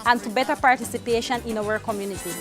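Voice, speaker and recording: A woman's voice: fairly high pitch, fairly even intonation, fast talker. A woman from Nicaragua delivering a scripted campaign message. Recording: music behind campaign video voice.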